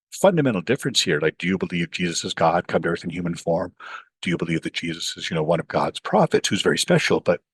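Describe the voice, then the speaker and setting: Lively husky-voiced man. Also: deep voice, no echo. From Pakistan. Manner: animated, acts out quoted voices, frequent "you know". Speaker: author and professor. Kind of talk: interview conversation.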